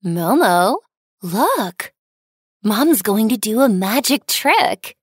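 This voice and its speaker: A woman voicing a gentle, reassuring young mother in a children's storybook, soothing and coaxing. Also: medium pitch, sing-song, slow, breathy edge, no echo, studio quality, heavily acted. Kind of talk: scripted children's storybook dialogue.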